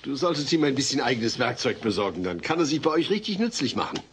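An earnest, exasperated middle-aged dad played by a man with a lively, shifting intonation. He talks formally and a little hesitantly, in a sitcom audio play with faint tape noise. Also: medium pitch, fast talker, close to an everyday voice. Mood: friendly. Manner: hesitant, formal, long-suffering. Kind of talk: German-dubbed sitcom audio play acting. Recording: noisy old cassette audio play.

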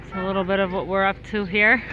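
A woman's voice: medium pitch, a little fast. A woman chatting casually to viewers as a farm vlogger, recorded outdoors on a farm with a phone. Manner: relaxed, chatty, addresses viewers directly. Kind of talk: casual vlog narration. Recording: lo-fi outdoor phone audio with loud background noise.

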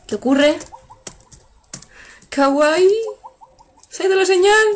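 A young woman with a Spain Spanish accent, giving casual, excitable commentary on a game at her computer. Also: very high-pitched, slow pace. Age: young adult. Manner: excitable, laughs often, sudden shrieks. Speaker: let's player. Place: room at a computer.

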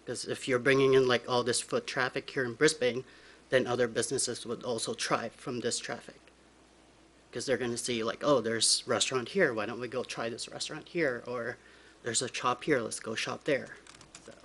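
A nervous young man giving informal, halting public comment at a hearing. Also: medium pitch, steady tone, fairly bright tone, husky. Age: young adult. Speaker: business applicant speaking at a public hearing. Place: large council chamber, indoors. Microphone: podium microphone.

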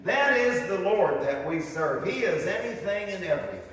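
High-voiced pastor preaching in an echoey hall. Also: male voice, lively intonation, moderate pace, a crowd in the background. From northern United States. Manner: fervent, rising emphasis with storytelling asides. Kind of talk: sermon. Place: church sanctuary, indoors. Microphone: far camera, speaking at a lectern. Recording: echoey hall recorded from far off.

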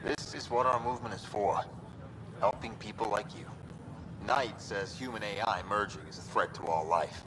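A man's voice: lowish voice, normal speed, background noise, close to an everyday voice. An actor playing an upbeat, chatty male hacker recruiting for his movement in a video game. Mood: friendly.

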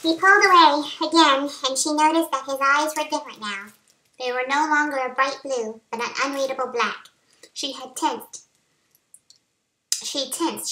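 A woman's voice: high-pitched, bright, forceful tone. A middle-aged woman reading romance fiction aloud, her voice altered by helium, on a webcam in a home office. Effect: voice raised by helium. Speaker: author reading own novel. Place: small home office, indoors. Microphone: webcam at arm's length. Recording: roomy echo.

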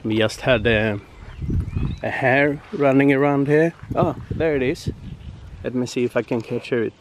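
A man in his forties, a native Swedish speaker, chatting casually to his handheld camera in a halting way with many fillers. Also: mid-range voice, slight background noise. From Sweden. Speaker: fishing vlogger. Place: outdoors on a grassy field by woods, later in a car.

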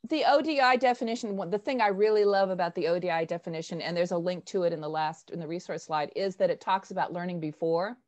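An older woman facilitating an informal online workshop, speaking in an animated, emphatic, self-deprecating way on a video call headset. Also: on the low side, quick pace, hardly any pauses, lo-fi sound. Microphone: wired earphone headset.

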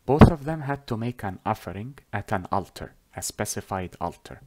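A man whose first language is Arabic tells a religious story as a lesson, talking deliberately with many pauses and self-corrections. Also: fairly low pitch, expressive, very noisy. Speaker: religious storyteller teaching on a whiteboard.

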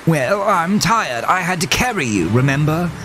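A man voicing a mocking, gleefully menacing gentleman horse in a horror audio story, with theatrical, polite taunting. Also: a little high, big pitch swings, moderate pace, slight background noise, voice pushed up. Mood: weary, sardonic.

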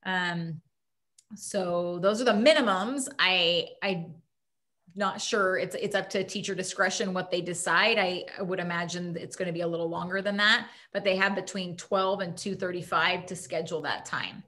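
A woman's voice: on the low side, fairly even intonation, moderate pace, pushed and bright. A woman school principal answering parents' questions at a video-call town hall, informal and thinking aloud.

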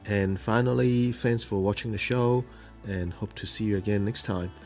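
Man, low voice, hobby tutorial voice-over, step-by-step, frequent ums, faint music behind. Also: steady tone, moderate pace, darkish tone. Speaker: host of a model-building show.

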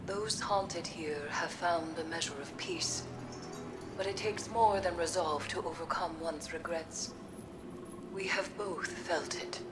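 Game role: a haunted female mystic, solemn and unhurried, over prominent music. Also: low-pitched. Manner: measured, solemn. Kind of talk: scripted game dialogue.

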